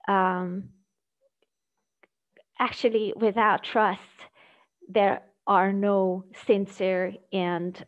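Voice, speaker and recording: A woman giving an academic presentation over a video call, speaking in a measured, hesitant way with halting phrasing. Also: mid-range voice. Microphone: video call microphone. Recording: dull, lo-fi video call audio.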